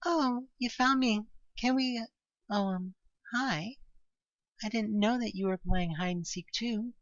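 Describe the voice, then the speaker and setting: A woman playing a childlike, innocent nun who babbles shyly and haltingly in an audio drama. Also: a little high, dry recording, highs cut off. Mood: shy, flustered. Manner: hesitant, halting.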